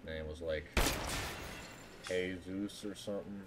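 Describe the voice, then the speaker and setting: Very deep, unhurried male game streamer. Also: steady tone, very noisy. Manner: joking, talks to himself, swears. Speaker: gaming streamer. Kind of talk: casual gameplay commentary. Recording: game music behind the voice. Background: loud video game music.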